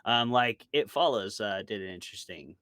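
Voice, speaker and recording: A man's voice: lowish voice, lively intonation, normal speed, bright, forceful tone, no room sound, studio quality. A man talking casually as an interview guest, giving his opinions and explaining them with examples. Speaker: interview guest, author and podcast co-host. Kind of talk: casual conversational discussion.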